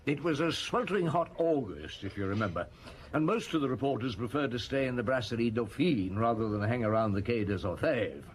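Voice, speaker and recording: A man's voice: medium pitch, animated, fairly quick, lightly acted. A shrewd Paris police chief tells an old case with a wry chuckle, played by a man. His mid-height voice swings up and down a lot. A faint hiss sits under the radio drama recording. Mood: reminiscing. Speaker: narrator and detective.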